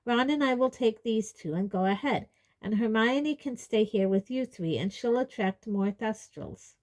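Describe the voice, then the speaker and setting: A woman's voice: a little high, expressive, moderate pace, a little mellow, voice pushed up, lightly acted. Woman narrator as storybook teen boy hero, short-tempered, bossy, curt, urgent. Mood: decisive. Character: frustrated, hot-tempered, commanding teenage boy hero.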